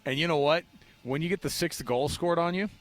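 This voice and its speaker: A man's voice: a little high, fairly quick. A man with an American accent hosts a sports radio show in a casual, chatty style.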